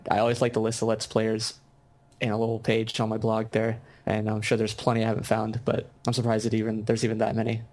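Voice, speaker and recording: A man's voice: fairly low pitch, monotone, fast, a little mellow. A young American man, a video game designer, chatting casually in an interview with many ums and restarts. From United States.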